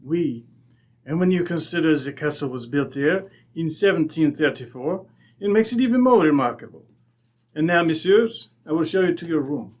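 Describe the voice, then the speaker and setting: A man playing a proud, courteous French viscount with a French accent and halting, formal English in a radio drama. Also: a little high, animated, a little mellow. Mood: charming, courtly. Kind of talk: old-time radio drama acting.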